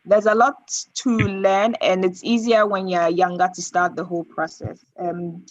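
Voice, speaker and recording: Woman, lowish voice, dull audio. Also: level tone, unhurried. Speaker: air traffic controller answering questions. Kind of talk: unscripted panel explanation.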